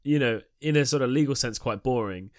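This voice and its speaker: A man's voice: medium pitch, brisk, no echo, clean studio sound. A man co-hosting a casual analytical discussion, thoughtful and discursive in the way he builds his points. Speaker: podcast co-host.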